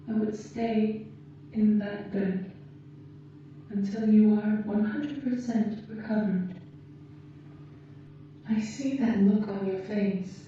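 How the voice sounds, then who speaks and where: A woman voicing a gentle, caring alien rescuer in an ASMR roleplay, speaking formally and precisely with deliberate pauses. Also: mid-range voice, lightly acted. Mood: reassuring. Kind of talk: ASMR roleplay, slow soothing delivery. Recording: muffled, echoey, distant, faint noise.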